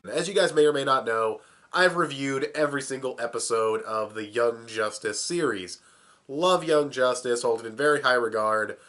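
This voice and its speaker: A man's voice: medium pitch, normal speed, fairly bright tone, soft background music. Sick young man reviewing cartoons. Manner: casual, swears, chuckles. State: sick, plugged up. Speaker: video reviewer. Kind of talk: casual review vlog.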